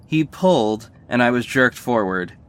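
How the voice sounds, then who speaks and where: Superhero lead for a male actor: first-person audio drama narration, medium-pitched, wry, sardonic, earnest storyteller. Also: animated, a little slow, smooth, close to an everyday voice. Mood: tense recounting. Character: sardonic young male superhero narrating his own fight.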